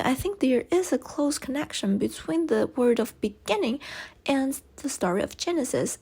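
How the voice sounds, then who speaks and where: A young woman whose first language is Chinese, reading a class presentation in a careful, measured way with light breathy passages. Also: fairly high pitch, a little mellow. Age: young adult. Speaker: student presenter. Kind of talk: read class presentation narration.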